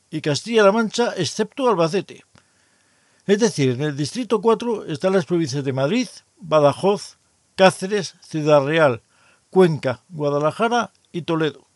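A man from hosting an amateur radio show, reading out districts and zones in a measured, even way, then interviewing a guest. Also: a little high, no room sound. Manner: measured, reads lists of places evenly. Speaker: host of an amateur radio program. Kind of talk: scripted explainer then interview.

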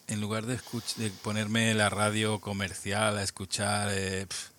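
An older man with a Spain Spanish accent speaks in a lowish voice, reflective and unhurried. He restarts and repeats words often as he works through his memories, in a relaxed interview. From Albacete, Spain. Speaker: guest, amateur radio operator. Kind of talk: casual interview, reminiscing.

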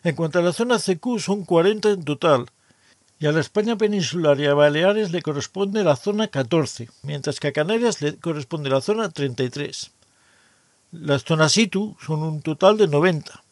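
A man from hosting an amateur radio show, reading out districts and zones in a measured, even way, then interviewing a guest. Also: on the high side, no echo, clean studio sound. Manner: measured, reads lists of places evenly. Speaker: host of an amateur radio program. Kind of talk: scripted explainer then interview.

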